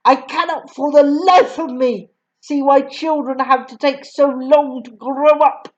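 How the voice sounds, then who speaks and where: A woman's voice: high-pitched, fairly even intonation, unhurried, dull audio. A woman voicing a huge, bullying female headmistress who barks and snaps at children. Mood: barking. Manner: barking, snapping, loud.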